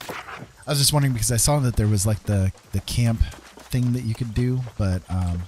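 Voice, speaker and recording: A man's voice: medium pitch, moderate pace, a little mellow, a little hiss or hum. A man giving casual gameplay commentary, thinking aloud and often tacking little questions onto his sentences. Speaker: game streamer.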